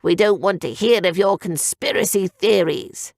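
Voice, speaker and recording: A woman's voice: mid-range voice, normal speed, gravelly, a little mellow, no echo, clean studio sound. A woman voicing a pompous, self-assured male town orator who blusters at the crowd. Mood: scornful.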